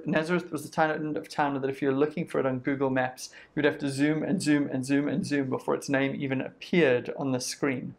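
A man's voice: on the high side, creaky. A man with a South African accent, giving a sermon in a conversational way and acting out what people say. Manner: conversational, uses quoted voices and lists. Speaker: preacher.

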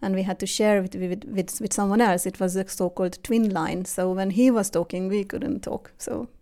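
A woman in her forties with a Hungarian accent, a guest telling her story in a relaxed interview, with frequent fillers and restarts. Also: medium pitch, normal speed. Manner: hesitant, many fillers and restarts. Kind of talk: casual interview conversation.

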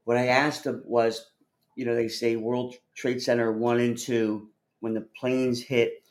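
A man's voice: medium pitch, flat delivery, unhurried. A man with a mid-range voice hosts a casual discussion. He explains things in a blunt, rambling fashion and swears freely as he goes. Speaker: host of a call-in discussion. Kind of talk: casual chat.

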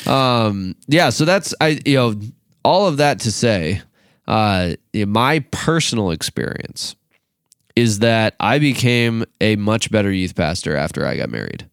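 A man's voice: lowish voice, big pitch swings, a little slow, vocal fry, clean tone, no echo, clean studio sound. A man in his late twenties, a youth pastor co-hosting a podcast, talking casually with many fillers and restarts. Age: 20s.

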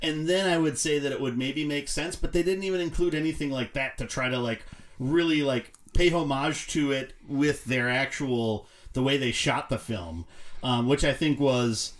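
A man's voice: mid-range voice, moderate pace. A man giving casual, blunt and critical film opinions as a podcast panelist.

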